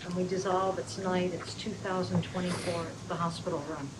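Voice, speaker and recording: A woman narrates stage directions at a table read. Her voice is somewhat low and stays very even in pitch. She is picked up from a distance with clear noise in the room. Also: normal speed. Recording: distant, noisy rehearsal room recording.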